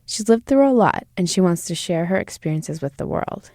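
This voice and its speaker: A woman reporter narrating a radio story in a clear, explanatory way. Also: fairly low pitch, lively intonation, normal speed, warm tone, no room sound, clean studio sound.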